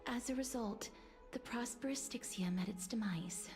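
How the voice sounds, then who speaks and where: Woman playing a hushed, gentle bookish young lady. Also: on the high side, close to an everyday voice. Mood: wistful. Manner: slow, hushed, breathy, formal delivery. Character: gentle, melancholy, bookish young woman, soft-spoken and courteous. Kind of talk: video game voice acting, story dialogue. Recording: game music behind the voice.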